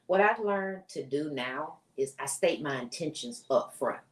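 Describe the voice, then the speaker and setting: A woman with a loud, strong voice, chatting bluntly and emphatically as a podcast co-host. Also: sits low, expressive, a little slow, slight background noise. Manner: blunt, emphatic, repeats herself. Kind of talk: casual chat. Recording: echoey room, far from mic.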